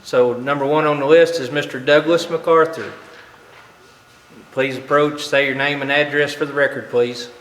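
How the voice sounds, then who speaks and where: A man serving as mayor, chairing a public meeting and keeping order in a firm, brisk way. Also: medium pitch, fairly even intonation, a bit bright, a little hiss or hum. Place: city council chamber. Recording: echoey meeting room.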